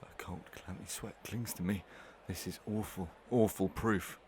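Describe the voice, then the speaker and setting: A low, theatrical villain played by a man in a Victorian audio melodrama. He speaks in formal old-fashioned phrases, scheming and inwardly tormented by guilt. A little background noise sits behind the voice. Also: fairly even intonation, moderate pace, a little mellow, close to an everyday voice. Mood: tormented dread.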